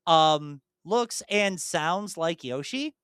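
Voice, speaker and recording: A young man co-hosts a casual podcast, talking eagerly and circling back through his thoughts. His voice is bright and crisp, a little higher than most men's. The sound is close and dry. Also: animated, takes it slowly, no room sound. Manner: enthusiastic, rambling with restarts. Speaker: podcast co-host. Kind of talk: casual chat.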